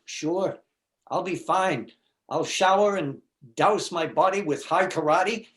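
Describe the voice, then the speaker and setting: A man's voice: high-pitched, slow pace. A man in a comedy reading, playing a neurotic, insecure widower who stammers and frets about dating. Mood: nervous excitement. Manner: stammering, anxious, self-deprecating. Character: neurotic, insecure, fretful widower facing a date. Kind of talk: comedy readers theater.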